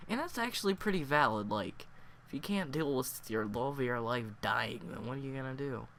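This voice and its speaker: A man with a cold, sniffling and hoarse, reviewing a story in a casual, rambling way full of fillers. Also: mid-range voice, lively intonation, a little fast, a bit bright, a little hiss or hum. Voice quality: hoarse, worn voice.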